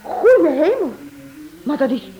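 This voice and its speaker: A woman's voice: high voice, sing-song, takes it slowly, heavily acted. A woman playing a nosy, pouting, playful wife in a radio drama, with a melodramatic manner. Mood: curious, excited. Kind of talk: Dutch-language radio drama acting. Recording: dull old recording with clear noise.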